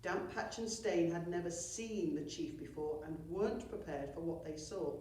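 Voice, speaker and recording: A woman teacher reads a story to children with theatrical expression. Her voice is mellow and fairly low. The room is very echoey and the microphone sounds some way off. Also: moderate pace, slight background noise. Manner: animated, theatrical delivery. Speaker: teacher reading a story to pupils. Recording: very echoey room, distant mic.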